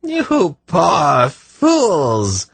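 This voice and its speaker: A cold, cruel sorceress, played by a male narrator, rasping with open contempt. The pitch is lifted well up and the archaic phrases are drawn out slowly, in fantasy audiobook style. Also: huge pitch swings, no room sound, full character voice. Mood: derisive. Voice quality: harsh, rasping. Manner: derisive archaic speech.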